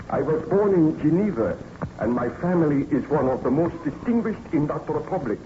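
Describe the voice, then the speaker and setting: A man's voice: mid-range voice, dark tone. A man playing a tormented, guilt-ridden scientist nobleman in formal, theatrical old-time radio drama style. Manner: grave, melodramatic, formal theatrical diction. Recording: dull, noisy old radio recording.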